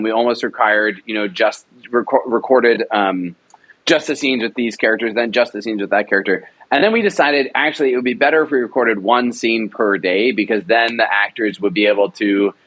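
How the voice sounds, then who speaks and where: A man, a radio drama producer, explaining the logistics calmly with frequent fillers. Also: medium pitch, no room sound, poor audio. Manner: measured explanation with many fillers. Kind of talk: interview conversation.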